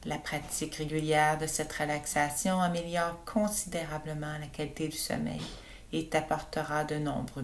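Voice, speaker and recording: Low-pitched woman guiding a sleep meditation. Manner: slow, calm, soothing instruction. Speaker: yoga teacher leading relaxation. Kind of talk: guided meditation narration for sleep.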